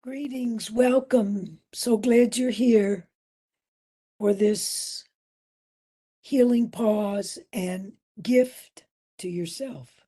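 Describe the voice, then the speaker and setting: A woman leading a guided meditation as instructor, gentle and soothing, with long pauses and a breathy voice that at times nears a whisper. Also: a little high.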